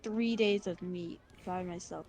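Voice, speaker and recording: A woman's voice: lowish voice, steady tone, measured pace, darkish tone. A woman chatting casually as a player in a tabletop roleplaying game.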